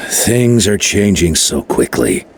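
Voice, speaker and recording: Casting: solemn game chieftain, lowish voice with expressive pitch, music clearly behind. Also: male voice, drawn-out words, a little hiss or hum, full character voice. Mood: grave, worried. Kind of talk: video game voice acting.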